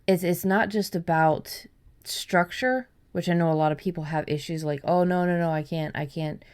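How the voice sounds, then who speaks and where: A woman's voice: fairly low pitch, moderate pace, a little mellow, clear, resonant tone. A woman hosting a podcast, giving conversational advice in an explanatory, encouraging way. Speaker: podcast host.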